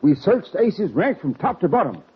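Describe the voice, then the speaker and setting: Husky, befuddled Western sheriff. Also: male voice, sits high, very animated, normal speed, heavily acted. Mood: exasperated. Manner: folksy, exasperated. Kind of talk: old-time Western radio drama acting. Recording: muffled old radio drama recording.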